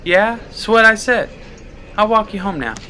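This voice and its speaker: Man putting on a high-pitched, flirty tipsy woman. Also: expressive, moderate pace, bright, forceful tone. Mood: tipsy, insistent. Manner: teasing, flirtatious. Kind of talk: dramatic story reading.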